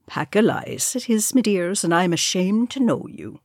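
A woman's voice: medium pitch, animated, a little mellow, breathy edge, no echo, studio quality, voice pushed up. Woman doing a sly, folksy old dwarf innkeeper. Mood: mock-offended. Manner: wily, folksy, drawn-out dialect. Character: sly old male dwarf tavern keeper and bookmaker.

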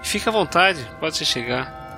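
A man's voice: a little high, lively intonation, moderate pace, creaky, music in the background. A Brazilian man introducing a podcast episode as its host.

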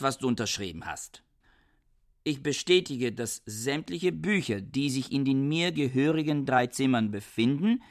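An actor playing a pedantic, irritable, bookish husband in a radio drama, speaking in a curt, formal and exacting way. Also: male voice, medium pitch, natural voice.

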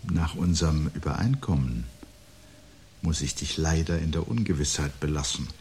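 An actor playing a brooding, dryly ironic man in a literary radio play, speaking formal, measured lines with a husky voice. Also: extremely low pitch, darkish tone, slight background noise. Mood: brooding, resigned. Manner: measured, dry, articulate, formal. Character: brooding, dryly ironic man awaiting looming catastrophe at home.

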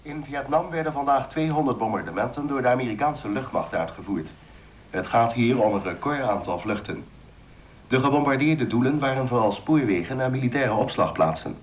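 A man acting a formal radio newsreader in a Dutch-language radio play, reading bulletins in a measured way. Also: medium pitch, animated, background noise, natural voice. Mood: neutral.

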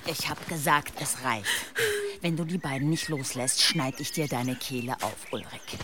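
A woman playing a tough, commanding villager in a medieval audio drama, firm and calmly threatening. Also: very deep voice, moderate pace, noisy background. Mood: cold threat.

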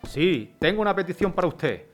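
A man's voice: sits high, sing-song, a little fast, soft background music. A man playing a courteous, formal city mayor. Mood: earnest. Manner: polite, formal.

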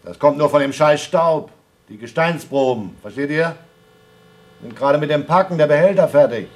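An actor in a radio play playing a gruff, sardonic male astronaut with a dry, grumbling way of talking. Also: a little high, animated, normal speed. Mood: loud, sardonic. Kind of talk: radio drama acting.

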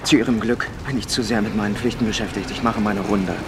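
A man playing a stubborn, hostile low-ranking Soviet officer in a radio crime drama, gruff and indignant, with bluster over fear. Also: fairly even intonation, fast, lightly acted. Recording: loud outdoor vehicle noise behind.

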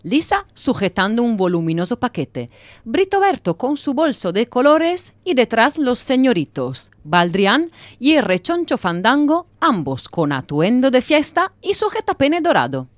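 A woman's voice: fairly high pitch, very animated, a little slow, no room sound. A woman narrating an audio drama with scripted, dramatic storytelling.

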